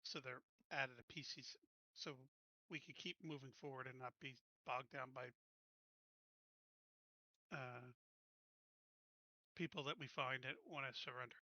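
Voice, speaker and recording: A man's voice: medium pitch, steady tone, measured pace, long pauses, sharp tone, dry recording, highs cut off. A man playing a tabletop roleplaying game, casually talking through plans and questions. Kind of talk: casual game planning talk.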